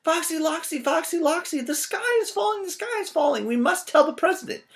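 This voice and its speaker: A man's voice: expressive, brisk, fairly bright tone, full character voice. A man voicing a silly, panicked little storybook chicken, crying out urgently and repeating itself. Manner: shrill, urgent repeated cries.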